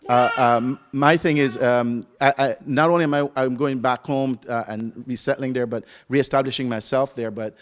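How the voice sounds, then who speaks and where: Belizean-born faculty man, earnest and halting, medium pitch, faint hiss behind. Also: steady tone. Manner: halting, many fillers and restarts, earnest. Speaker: interview guest, college faculty. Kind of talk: casual interview answers.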